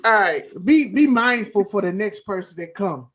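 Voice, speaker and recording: A man's voice: high-pitched, lively intonation, normal speed, fairly bright tone. A man co-hosting a casual chat show in an informal, joking manner. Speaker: talk show co-host. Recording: dull, lo-fi sound.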